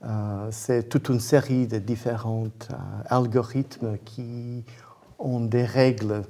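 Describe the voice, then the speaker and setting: A man with a foreign accent, a non-native speaker giving careful, halting explanations as an interview guest and searching for his words. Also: medium pitch, steady tone, mellow. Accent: foreign accent in French. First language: not French. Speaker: guest, independent researcher and inventor.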